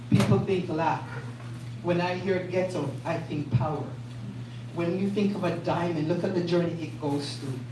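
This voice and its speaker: Woman hosting a community event, says things over and over for emphasis, super echoey room, far from the mic, noisy. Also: fairly low pitch. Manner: warm, repetitive, emphatic, animated. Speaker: event host. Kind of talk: live event speech to a crowd. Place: community event space indoors.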